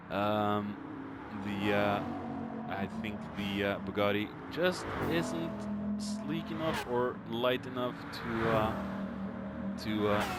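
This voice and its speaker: A man giving excitable, informal live race commentary with hesitations, his voice strained and starting to give out. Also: medium pitch, heavy background noise. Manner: exclamatory, informal, peppered with hesitations. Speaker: esports racing commentator.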